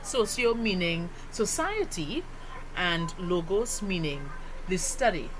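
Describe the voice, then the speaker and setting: A woman with a Trinidadian accent explains like a teacher giving a lesson, often posing rhetorical questions to the listener. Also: fairly low pitch. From Trinidad and Tobago. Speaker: sociology teacher. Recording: clearly noisy background ambience.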